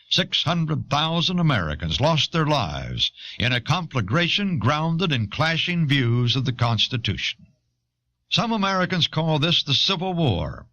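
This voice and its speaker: Male narrator for educational history audio; bright, highly inflected, measured delivery, dull cassette sound.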